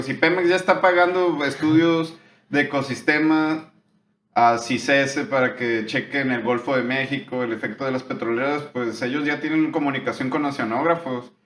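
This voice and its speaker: A man's voice: mid-range voice, a bit bright. Young northern Mexican guy, casual podcast co-host, slangy and talkative, roomy echo with faint hum. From Tijuana, Mexico. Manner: slangy, explains at length, drops "güey" constantly. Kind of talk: casual chat.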